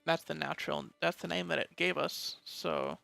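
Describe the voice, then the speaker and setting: A man's voice: a little high, fairly even intonation, normal speed, vocal fry, sharp tone, dry recording, faint music behind. A man giving casual let's play commentary, thinking aloud and reading bits of game text, with some mild swearing.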